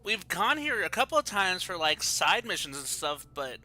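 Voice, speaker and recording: A woman's voice: on the low side, sing-song, normal speed, pushed and bright. A woman doing casual, blunt live commentary as a game streamer, reacting to the story.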